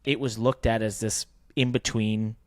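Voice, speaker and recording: A man's voice: fairly low pitch, fairly even intonation, unhurried, darkish tone, no echo, studio quality. A man co-hosting a podcast, explaining his points conversationally in a casual sports business discussion. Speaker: podcast co-host.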